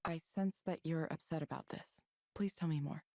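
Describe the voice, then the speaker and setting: A woman playing a calm, probing therapist who delivers a chatbot's questions in a visual novel game, measured and formal. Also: low-pitched.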